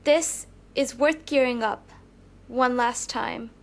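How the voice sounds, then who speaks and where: Actress as protective young heroine for audio drama: high voice, firm and earnest, careful about risks. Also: female voice, slow pace. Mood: resolute. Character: cautious, firm young superheroine, protective of friends.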